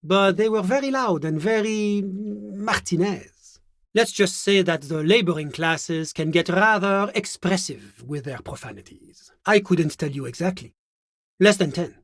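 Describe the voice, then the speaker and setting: Polite government official, fastidious, evasive, formal and measured, slightly high male voice, dry studio sound, video game dialogue. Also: fairly bright tone, dry recording. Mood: reserved, uneasy. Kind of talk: video game character voice acting.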